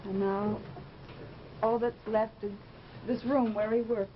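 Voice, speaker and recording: A woman's voice: medium pitch, little pitch movement, slow, natural voice. Woman acting haunted young widow, noisy old radio. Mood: lonely, yearning. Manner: gracious, wistful, dramatically intense. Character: lonely, yearning widow of a musician, haunted and eerie. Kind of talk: 1940s radio horror drama acting. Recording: noisy, dull vintage radio recording.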